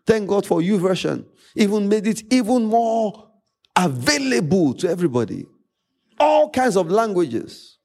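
A man with a Nigerian accent, a native Yoruba speaker, preaching a sermon as a pastor, emphatic, repeating phrases and pausing dramatically. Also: high-pitched, sing-song, vocal fry, mellow.

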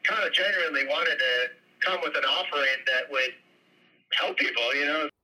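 Guy in his 40s, raspy voice, lots of "you know", kinda tinny. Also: fairly high pitch, normal speed, sharp tone. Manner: casual, hesitant, lots of fillers. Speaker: interviewee, business owner. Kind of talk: recorded interview clips.

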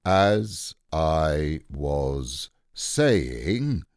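A man's voice: very deep voice, expressive, takes it slowly, darkish tone, no room sound, clean studio sound, heavily acted. A man voicing a gloomy, self-important donkey who speaks in a pompous, stern, ponderous manner.

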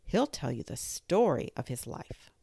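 A woman voicing a bad-tempered, bossy Queen in a storybook reading, loud and commanding. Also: extremely low pitch, sing-song, measured pace, creaky, husky, no room sound, full character voice.

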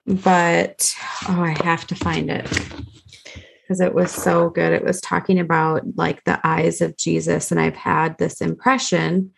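A woman's voice: lowish voice, vocal fry. A woman co-hosting a casual faith podcast, talking loosely and informally. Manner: loose and informal, many fillers. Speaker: podcast co-host.

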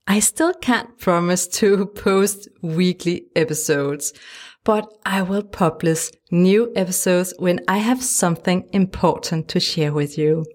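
A woman from Denmark with a Danish accent, hosting her own podcast from a small home studio in a gentle, encouraging way. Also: on the low side, measured pace. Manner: gentle, encouraging, laughs lightly now and then. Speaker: podcast host and life coach. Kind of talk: solo podcast monologue. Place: small home studio lined with sound panels.

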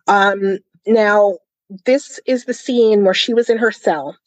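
A woman's voice: mid-range voice, takes it slowly, no echo, rough recording. A woman co-hosting a podcast, casually discussing a book and reading passages aloud. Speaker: podcast co-host.

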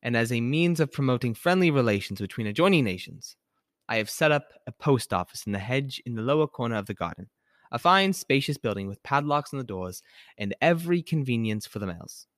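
A man's voice: medium pitch, lively intonation, a little fast, no echo, studio quality, close to an everyday voice. A man playing a charming young man who gives a playful, mock-formal speech in an engaging manner.

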